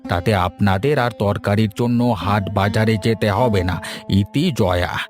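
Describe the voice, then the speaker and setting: Guy doing a kindly teasing old village grandpa, cartoon story, lowish voice, bit of music. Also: measured pace, lightly acted. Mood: reading aloud. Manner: placating, gently teasing. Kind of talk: Bengali animated story dialogue.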